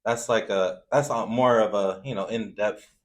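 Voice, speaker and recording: A man's voice: fairly low pitch, steady tone, normal speed. A man from Zambia in a casual interview, telling personal anecdotes in an informal, slangy way. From Zambia, moved to the US. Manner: informal, slangy, frequent "you know". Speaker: food truck owner, interview guest.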